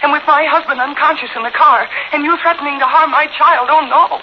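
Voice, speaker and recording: Woman playing high-voiced embattled mother, old radio thriller. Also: steady tone, a little fast, close to an everyday voice. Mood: mocking. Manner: dramatic, pointed, sarcastic. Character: defiant, frightened young mother held hostage, cunning. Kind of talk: 1940s radio thriller acting. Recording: dull 1940s radio recording, faint noise.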